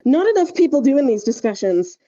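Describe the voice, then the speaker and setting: A woman's voice: high-pitched, very animated, a little fast, mellow, highs cut off. A woman hosting a podcast, explaining things casually and emphatically, peppering her talk with filler words and check-in questions. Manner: emphatic, hedging with frequent "like" and "right?". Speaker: podcast host.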